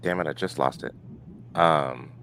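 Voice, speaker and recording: A man chatting casually as a sports podcast guest, halting and circling back as he talks. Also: a bass voice, fairly bright tone, slight background noise. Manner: halting, hedging, profane. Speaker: podcast guest and co-host of a fan podcast.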